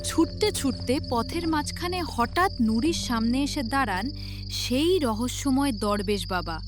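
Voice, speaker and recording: A woman's voice: high-pitched, animated, a little slow. A woman narrator reading a scripted story in a dramatic storytelling style. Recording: loud music behind the voice.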